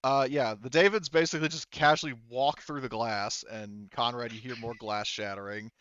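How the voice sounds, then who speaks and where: A man's voice: mid-range voice, fairly even intonation, moderate pace, a bit bright, clean tone, highs cut off. A man running a tabletop roleplaying game as game master, talking informally and swearing freely as he narrates and handles turns.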